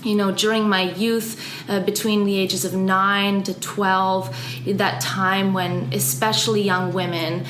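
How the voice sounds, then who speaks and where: A woman talks in an earnest, reflective way, at a middle pitch. She is recorded in a roomy, echoey office with a faint hiss of noise behind her. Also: flat delivery, measured pace, fairly bright tone. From Austria and Canada. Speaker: guest. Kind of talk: conversational interview.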